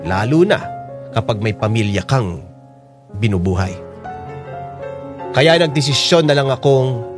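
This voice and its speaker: A man's voice: mid-range voice, expressive, unhurried, pauses often, music in the background, natural voice. A man playing a weary, bitter working father. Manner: earnest, frustrated, pleading. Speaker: narrator and lead in a radio drama. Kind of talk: radio drama acting.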